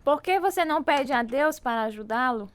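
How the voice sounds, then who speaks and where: A woman's voice: high voice, lively intonation, a little fast, slight background noise. A woman playing a supportive, devout wife with a Brazilian accent in a radio drama. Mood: encouraging. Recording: clinking dishes behind the voice.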